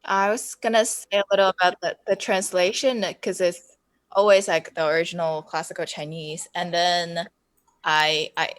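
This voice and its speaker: A woman's voice: fairly low pitch, level tone, moderate pace, no room sound. A woman on a podcast panel reflecting casually and hesitantly as she searches for words.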